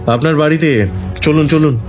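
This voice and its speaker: A man voicing a decisive detective who speaks quickly and firmly. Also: a little high, soft background music, natural voice. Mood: urgent. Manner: quick and commanding. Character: brisk, decisive male detective.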